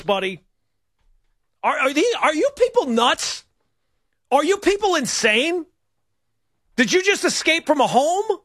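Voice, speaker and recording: A middle-aged man hosting a call-in sports radio show, excitable and emphatic, with a high voice. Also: no echo, studio quality. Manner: excitable, emphatic, mock-indignant.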